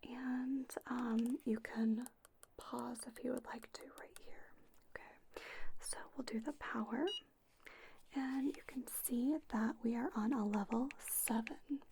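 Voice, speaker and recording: A woman doing a whispered ASMR roleplay as a spa owner, gently explaining each step to a trainee. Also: a little high, fairly even intonation, slow, vocal fry, background noise. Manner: gentle, instructional, step-by-step narration.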